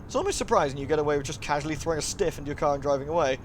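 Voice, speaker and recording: A man's voice: fairly high pitch, racing, over music. A man streaming a visual novel, reading the narration aloud dramatically and breaking into playful, chatty asides to his viewers. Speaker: live streamer.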